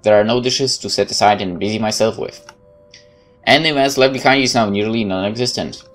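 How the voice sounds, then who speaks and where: Low-voiced man reading a game aloud. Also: fairly quick, faint music behind. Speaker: gaming YouTuber. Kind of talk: let's play read-aloud narration with casual commentary.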